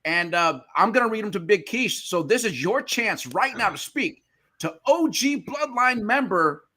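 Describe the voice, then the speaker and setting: A man's voice: high voice, expressive, normal speed, pushed and bright. A man co-hosting a podcast, introducing the guest with enthusiastic hype-style intros and stumbling over some words. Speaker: podcast co-host.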